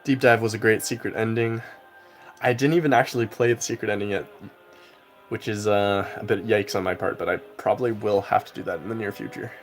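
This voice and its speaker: A young man with a somewhat low voice chats casually on a game stream, answering viewers in a relaxed, rambling flow. Faint game music plays quietly behind him. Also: a little fast. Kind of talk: casual live-stream chat while gaming.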